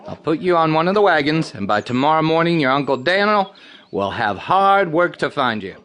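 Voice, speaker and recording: Folksy wheedling circus vendor, swooping pitch. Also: male voice, big pitch swings, moderate pace, fairly bright tone. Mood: coaxing. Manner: folksy, self-justifying smooth talker with rustic grammar. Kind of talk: audio drama acting.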